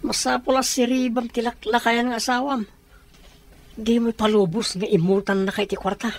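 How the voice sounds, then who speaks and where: A woman's voice: on the high side, flat delivery, normal speed. A woman acting in a radio drama as a sly, greedy accomplice who eggs on a plot. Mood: urging, greedy.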